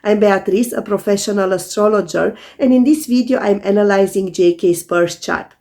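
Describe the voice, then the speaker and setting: A woman astrologer narrates her video in a hoarse voice left rough by a sore throat. Her tone sits in an ordinary middle pitch with a lot of gravelly fry, and she lays out each point in a calm, methodical way. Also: normal speed. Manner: calm, methodical explaining to viewers. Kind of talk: scripted explanatory video narration.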